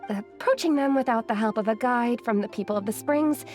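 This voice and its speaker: A woman's voice: fairly high pitch, a little fast, a little mellow, soft background music, natural voice. A woman playing a patient, apologetic young female scholar in a video game, courteous and formal. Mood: explaining. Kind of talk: video game character acting.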